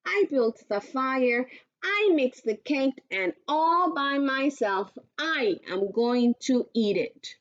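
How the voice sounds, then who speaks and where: A woman playing a hardworking, firm female storybook hen who speaks with slow emphasis, in a dull recording. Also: high voice, animated. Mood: firm, proud.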